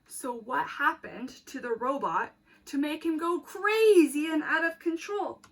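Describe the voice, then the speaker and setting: A woman with a high voice reads a children's storybook as a teacher would. Her delivery is slow and emphatic, shaped clearly for young listeners and addressed straight to them. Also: lively intonation. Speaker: teacher reading a picture book to children. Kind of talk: expressive storybook read-aloud with questions for young children.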